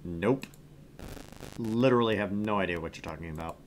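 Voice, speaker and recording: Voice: low-pitched, normal speed, slight background noise. A game streamer reading a visual novel aloud with casual, wry commentary. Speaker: gaming streamer.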